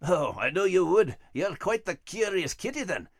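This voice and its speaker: Cartoon Scottish terrier: friendly, dim, folksy, very animated pitch, dry close sound. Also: male voice, moderate pace, no echo, full character voice. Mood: cheerful. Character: friendly, none-too-bright male Scottish terrier dog.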